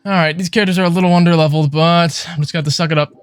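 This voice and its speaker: A man's voice: fairly high pitch, steady tone, very fast, clean tone, no echo. A man livestreaming a video game with casual, foul-mouthed, exasperated commentary. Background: video game music.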